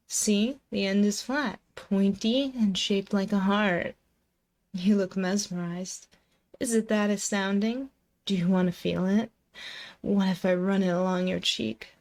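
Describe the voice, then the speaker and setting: A woman's voice: medium pitch, darkish tone. A woman playing a possessive, dominant and seductive female demon in an intimate, close-miked ASMR roleplay, commanding, teasing and doting. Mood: teasing, affectionate. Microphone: close binaural microphone.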